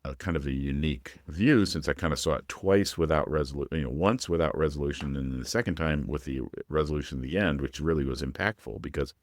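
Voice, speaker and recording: Man with a cold, extremely deep voice, dry sound, rambling podcast co-host. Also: fast, few pauses, dry recording. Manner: rambling, restarts. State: has a cold. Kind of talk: casual chat.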